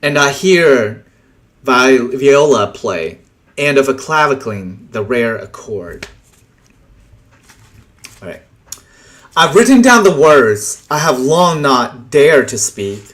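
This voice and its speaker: A man reading translated poems aloud in a deliberate way, pausing between short phrases. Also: mid-range voice, fairly bright tone. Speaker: poetry reader.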